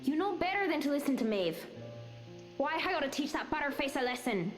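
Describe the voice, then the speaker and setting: A fiery, defiant Irish schoolgirl for a visual novel game. Her voice is high and very animated, teasing and cocky. It rings with long reverb over music. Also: brisk. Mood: cocky, dismissive.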